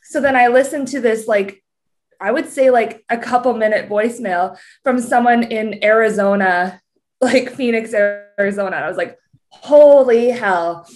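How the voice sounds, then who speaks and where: Young Canadian woman guest, higher voice, candid and chatty. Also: unhurried. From Canada, British Columbia. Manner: candid, laughing, chatty storyteller. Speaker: podcast guest. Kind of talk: casual chat.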